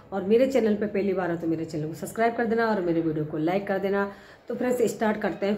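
A middle-aged woman with a hoarse, cold-roughened voice chats casually to her viewers and keeps calling them friends. She is recorded outdoors on a rooftop terrace on a phone held at arm's length. A faint hiss of background noise sits under her voice. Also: medium pitch, mellow. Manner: chatty, repeats "friends" often. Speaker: gardening channel host. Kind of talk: casual vlog talk to viewers.

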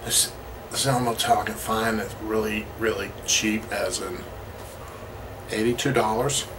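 A middle-aged man talking in a casual, rambling video diary, halting and pausing mid-sentence. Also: on the low side, steady tone, far from the mic, noisy background. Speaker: road-trip vlogger. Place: parked vehicle cabin, later a small motel room. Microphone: camera at about arm's length.

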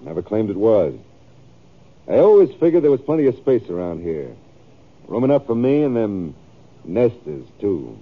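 An American actor playing a stubborn, self-justifying cattle rancher with folksy, rough grammar in a radio western. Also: male voice, on the low side, sing-song, normal speed, pauses often. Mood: defensive. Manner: folksy, ungrammatical. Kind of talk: 1950s radio western acting. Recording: thin, phone-like old radio recording.

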